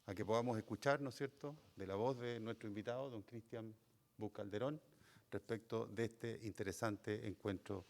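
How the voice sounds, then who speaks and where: A man's voice: lowish voice, fairly even intonation, moderate pace, vocal fry, a little mellow, in a large hall. A man hosting an event, formally introducing the guest speaker.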